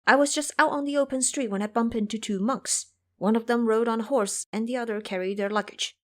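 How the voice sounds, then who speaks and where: A put-upon servant lad, acted by a woman, complains his way through an audio drama. He sounds flustered and aggrieved, with a very changeable pitch. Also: close to an everyday voice. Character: put-upon, grumbling young male household servant.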